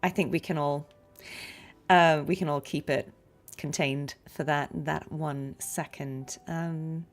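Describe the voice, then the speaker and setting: Slightly low-voiced woman streaming casually. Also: fairly even intonation, slow pace. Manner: chatty, musing, informal. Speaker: game streamer. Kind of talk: casual live stream chat.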